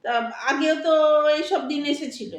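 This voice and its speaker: Female actor as bossy middle-aged wife, extremely high voice, aggrieved, sharp-tongued, theatrical audio drama, echoey room. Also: moderate pace, natural voice. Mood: complaining, reproachful. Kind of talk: Bengali audio drama acting.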